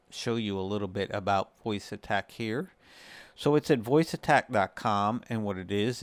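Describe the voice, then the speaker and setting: A man with a smooth, clear voice narrates a casual gaming tutorial. He speaks very slowly and explains things in measured phrases. The sound is dry and close, with no room to it. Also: fairly low pitch, no echo. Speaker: host of a gaming guide video series.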